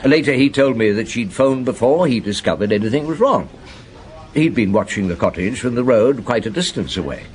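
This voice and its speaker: An actor playing a shrewd, measured police chief inspector in a radio drama, recounting his case and questioning people. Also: mid-range voice, lively intonation, natural voice. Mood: reflective. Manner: measured, probing, hesitant hums and questions. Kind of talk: radio drama acting, narrating and interrogating.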